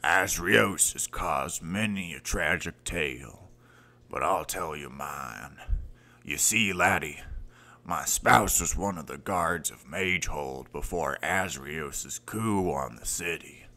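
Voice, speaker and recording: Casting: hearty old rebel warrior for fantasy audio drama, unhurried, gravel-edged, grandiose. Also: male voice, very deep voice, bright, forceful tone, heavily acted. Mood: somber grieving. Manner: boisterous, old-fashioned grandiose phrasing, calls others laddie. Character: gruff, hearty older warrior rebel, man, boisterous yet secretly grieving.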